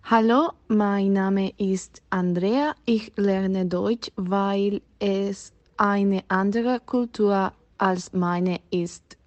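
A woman's voice: mid-range voice, steady tone, very slow, few pauses, darkish tone, no room sound. A female language student, introducing herself in a lo-fi clip. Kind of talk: prepared self-introduction. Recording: dry, dull lo-fi audio clip.

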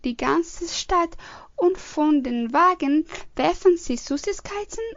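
A woman reading a prepared talk as a student presenter, slow and deliberate. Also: high-pitched, expressive. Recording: dull, dry lo-fi recording.

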